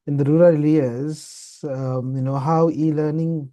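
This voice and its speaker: Guy moderating a panel, really mellow dark voice, bit higher pitched, gushes and repeats himself. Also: fairly even intonation, a little slow. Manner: effusive, repeats phrases. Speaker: event host. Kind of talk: moderating an online panel session.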